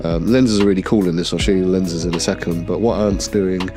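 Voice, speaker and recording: A man's voice: low-pitched, a little fast, music in the background. A man with a cold and a slightly nasal voice, casually narrating a workshop vlog about a glasses repair. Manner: relaxed, enthusiastic product talk with fillers. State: has a cold. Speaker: optician showing a frame job.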